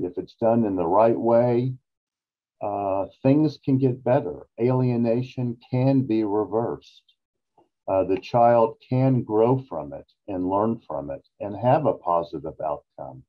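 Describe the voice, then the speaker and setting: A man in his sixties explaining things as an expert guest in a measured, emphatic way on a video call. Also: fairly low pitch. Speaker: psychologist interview guest. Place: small office, indoors. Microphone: video call computer microphone. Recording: dull, lo-fi video-call audio.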